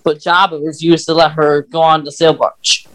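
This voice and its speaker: A man with a fairly high voice talks casually as a podcast co-host. He explains fan lore in a halting way, often pausing in the middle of a sentence. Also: flat delivery, fairly bright tone, low-quality recording.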